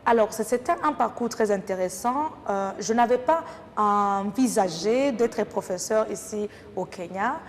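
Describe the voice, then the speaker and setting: A woman from Rwanda, a teacher talking thoughtfully in an interview with many pauses and fillers. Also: medium pitch. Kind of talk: casual interview talk. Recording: reverberant room with faint noise.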